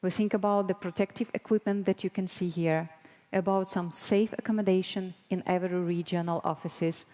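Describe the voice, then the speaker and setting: A woman in her thirties with a Ukrainian accent, giving an earnest, deliberate prepared presentation as a guest speaker. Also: lowish voice, fairly even intonation, warm tone. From Mariupol, Ukraine. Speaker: guest speaker, broadcast board member. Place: large conference hall, on stage. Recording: long hall reverb.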